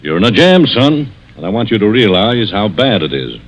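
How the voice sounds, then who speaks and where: An actor playing a stern, fatherly police inspector, firm and deliberate, in 1940s radio mystery style. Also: fairly low pitch, sing-song. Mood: stern, accusing. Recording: dull, lo-fi vintage radio recording.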